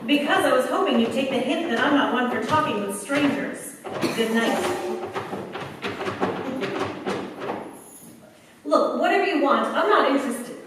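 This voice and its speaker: A woman's voice: high voice, animated, fairly quick. A woman acting a tired, tough factory girl in a 1940s-style radio play, wry and slangy, with an American accent. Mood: weary, dismissive. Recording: very echoey, distant mic, faint noise.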